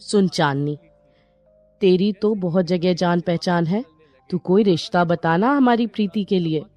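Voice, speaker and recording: A woman voicing a worried, homely mother in an animated moral story, speaking earnestly. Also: fairly low pitch, measured pace, no room sound, faint music behind, close to an everyday voice. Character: worried, homely mother seeking a match for her daughter.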